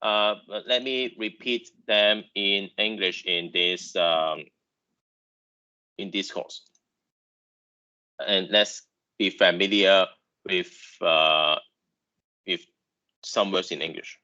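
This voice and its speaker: A man's voice: deep voice, takes it slowly, pauses often, sharp tone, clean tone, highs cut off. A man whose first language is Chinese, lecturing online about engineering, who halts and repeats words with many fillers.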